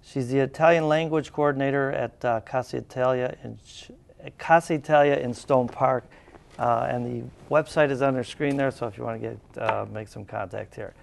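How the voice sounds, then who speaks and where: A man hosting a talk show interview, speaking haltingly with many uhs and restarts. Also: mid-range voice, fairly even intonation, creaky. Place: cable TV studio.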